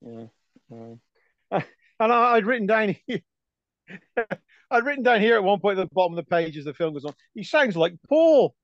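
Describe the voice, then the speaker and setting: A man's voice: high voice, big pitch swings, normal speed, long pauses, no room sound. A man co-hosting a casual film podcast who laughs often and trails off into fillers. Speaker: podcast co-host. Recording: dry, dull lo-fi sound.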